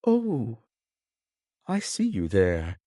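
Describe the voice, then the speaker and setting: Wise old storybook owl: mysterious, courteous, hooting, wildly swooping pitch, dry sound. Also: male voice, wildly swooping pitch, no echo, full character voice. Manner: theatrical hooting, slow hushed storytelling. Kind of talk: storybook character reading.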